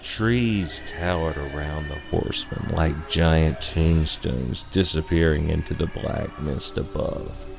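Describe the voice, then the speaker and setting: Casting: male narrator for a scary tale, slow, gravelly, theatrical and ominous. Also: very deep voice. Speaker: storyteller. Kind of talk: dramatic scripted horror narration. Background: music.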